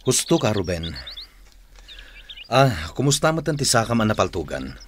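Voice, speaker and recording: Deferential Filipino fighter: low, loyal, radio drama, faint noise and birds in the background. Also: male voice, sing-song, moderate pace. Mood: respectful. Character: loyal, respectful young warrior following his leader.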